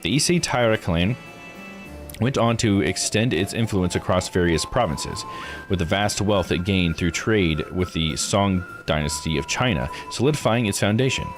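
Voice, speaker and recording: A man's voice: sits low, moderate pace, over music. A tired man streaming a game live, reading its text aloud with casual asides and correcting himself on tricky names. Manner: stumbles over foreign names and self-corrects.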